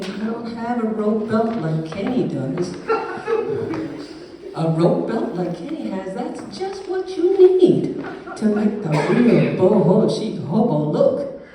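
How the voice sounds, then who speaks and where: A woman performing a cheerful, chatty, fashion-minded schoolchild in a live puppet show, musing aloud in a theatrical sing-song manner. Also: mid-range voice. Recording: echoey, noisy hall from far off.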